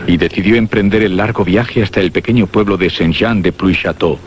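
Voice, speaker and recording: A man's voice: low-pitched, a little fast, slight background noise. A man narrating a scripted horror radio drama. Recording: dull lo-fi audio under music. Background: prominent music.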